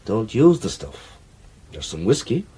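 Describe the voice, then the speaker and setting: An actor playing a calm, reassuring British man in a radio drama, reasoning things out matter-of-factly. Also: lowish voice, measured pace, breathy edge, heavily acted. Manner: matter-of-fact, explanatory. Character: calm, reassuring, reasoning male amateur investigator friend.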